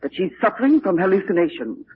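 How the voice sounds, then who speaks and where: An actress playing an anxious, scheming, middle-aged aunt in an old radio drama. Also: female voice, medium pitch, sing-song, fast, lightly acted. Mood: uneasy scheming. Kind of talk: 1940s radio drama acting. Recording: noisy, muffled old radio recording.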